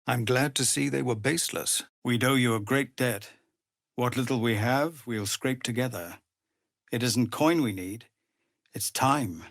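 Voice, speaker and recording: An actor playing a weary, dutiful leader of refugees in a video game, speaking in an earnest, measured, formal manner. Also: male voice, medium pitch, a bit bright, no echo, studio quality. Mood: grave, earnest. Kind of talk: dramatic video game voice acting.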